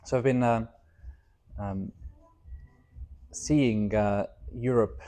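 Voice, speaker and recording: A man's voice: lowish voice, fairly even intonation, drawn-out words, dark tone. A man, giving reflective interview answers in a hesitant, thoughtful way. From Estonia. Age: 30s. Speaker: interview guest, startup founder.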